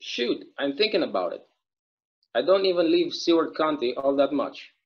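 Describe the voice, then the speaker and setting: A man's voice: on the high side, lively intonation, normal speed, natural voice. A young man playing a chatty, folksy small-town bartender in a table read of a stage play, rambling through local stories over a video call. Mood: easygoing. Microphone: video call microphone. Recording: dull, lo-fi video call audio.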